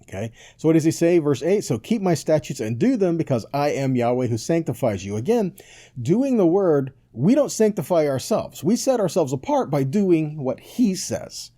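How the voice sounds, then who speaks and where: A man's voice: a little high, sing-song, normal speed, mellow. A man preaching in a conversational Bible-teaching style, emphatic and didactic, often putting questions to his listeners and checking that they follow. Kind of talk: conversational sermon, scripture teaching.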